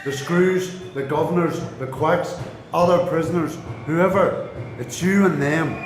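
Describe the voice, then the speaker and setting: An actor with a Northern Irish accent playing a hard, defiant rebel prisoner in a radio play. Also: male voice, a little high, unhurried, slight background noise, close to an everyday voice. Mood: hard, urgent. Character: defiant, hard male rebel prisoner giving gritty advice. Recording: echoey room with loud music.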